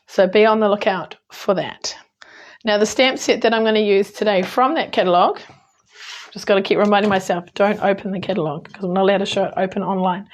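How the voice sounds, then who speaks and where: A woman with a New Zealand accent, hoarse today, chatting through a card-making tutorial with frequent ums and small self-corrections. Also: mid-range voice. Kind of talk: casual crafting tutorial.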